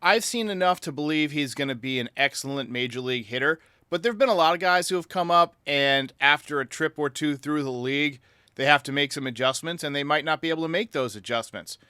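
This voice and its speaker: A man's voice: a little high, a little fast, sharp tone, clean tone, dry recording, clean studio sound. A man hosting a sports podcast, giving commentary in reply to fans. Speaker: podcast host. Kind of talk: sports commentary answering fan voicemails.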